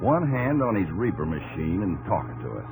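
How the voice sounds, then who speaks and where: A low-voiced American frontier farmer in a vintage radio drama with music behind him. He is earnest and weary, plainspoken and folksy, and his pitch swings widely with feeling. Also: sing-song, moderate pace, rough recording. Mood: somber, reflective. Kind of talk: 1950s radio drama acting and narration. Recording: old 1950s radio recording with music behind.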